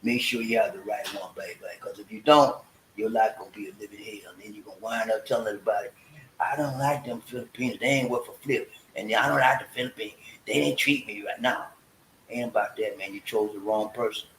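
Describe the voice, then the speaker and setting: A man's voice: on the low side, fairly even intonation, brisk, fairly bright tone. Folksy man in an echoey room. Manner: folksy, preachy, breaks into singing. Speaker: vlog host. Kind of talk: casual livestream chat. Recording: echoey room with faint hiss.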